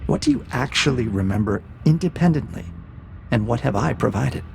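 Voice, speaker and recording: An actor playing a cold, calculating interrogator AI in an audio drama, with a measured, probing and quietly menacing manner. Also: male voice, mid-range voice, expressive, creaky, a little hiss or hum. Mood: coolly probing. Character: cold, calculating male interrogator AI breaking a prisoner. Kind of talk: scripted audio drama acting.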